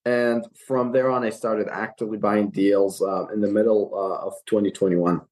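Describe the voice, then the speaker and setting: A man's voice: on the low side, fairly even intonation, moderate pace, darkish tone, poor audio. A young man from Iraq, a guest on a podcast interview, telling his story earnestly with frequent fillers and restarts. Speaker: guest, real estate investor. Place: California.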